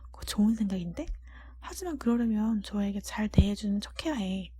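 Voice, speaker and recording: A spiteful older sister from a storybook, played by a woman, plots in a very breathy whisper. The voice is soft-edged and mellow, the manner conspiratorial and sly. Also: mid-range voice, lively intonation, fairly quick. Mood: scheming.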